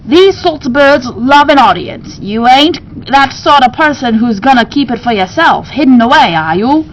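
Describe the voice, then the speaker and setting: Woman voicing a chatty, folksy bird shop keeper, pitched high. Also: animated, normal speed, a little hiss or hum, low-quality recording. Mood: earnest, insistent. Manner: folksy ungrammatical speech, pointed questioning.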